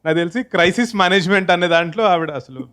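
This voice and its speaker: Higher-pitched Telugu man telling anecdotes. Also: racing, very noisy. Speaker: film team member being interviewed. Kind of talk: casual group interview, telling anecdotes.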